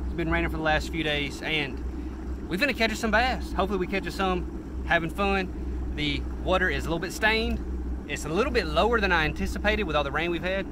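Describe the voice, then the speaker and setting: A man's voice: sits high, expressive, brisk. A man in his twenties with a Southern US accent, chatting casually and folksily as a fishing vlogger, recorded outdoors. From Alabama, United States. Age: 20s. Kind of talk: casual vlog chat and commentary. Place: outdoors on a small boat on a river. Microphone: action camera, chest-mounted or at arm's length. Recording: noisy outdoor boat recording.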